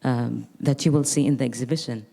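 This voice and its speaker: Young woman from gaza talking on a panel, low mellow voice, thoughtful, restarts a lot. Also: steady tone, brisk, vocal fry. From Gaza Strip. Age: young adult. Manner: reflective, earnest, with frequent restarts. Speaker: artist. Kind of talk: panel conversation.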